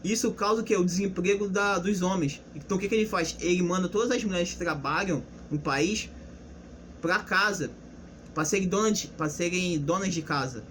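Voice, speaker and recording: A man's voice: high-pitched, moderate pace, a bit bright, a little hiss or hum. A young man giving a casual, unscripted history lesson, explaining loosely with restarts and rhetorical questions. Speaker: history presenter.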